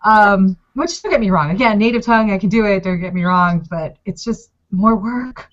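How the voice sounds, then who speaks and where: A woman's voice: medium pitch, moderate pace. A Mexican-born woman, a native Spanish speaker, chatting on a video call as a food blogger, goofy and chatty with lots of laughs and restarts. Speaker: consulting client, food blogger. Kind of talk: casual video call chat. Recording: slightly dull video call audio.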